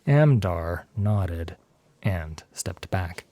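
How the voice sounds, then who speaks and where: A man reads a dramatic scripted story as narrator. His voice is dark and slow, with wide swings of pitch and deliberate phrasing. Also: lively intonation, no room sound.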